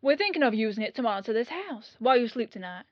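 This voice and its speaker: A woman's voice: a little high, very animated, fast, no room sound, dull audio. A woman playing a plain-spoken, breezy police detective lieutenant in an audio roleplay, talking in a casual, colloquial way. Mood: casual, explaining.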